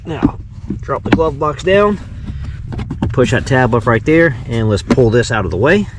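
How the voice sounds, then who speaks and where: A man's voice: mid-range voice, sing-song, unhurried. A man giving a casual, folksy how-to while working inside a vehicle, thinking aloud as he goes. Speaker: vlogger demonstrating a car radio install. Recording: clear background noise in a vehicle.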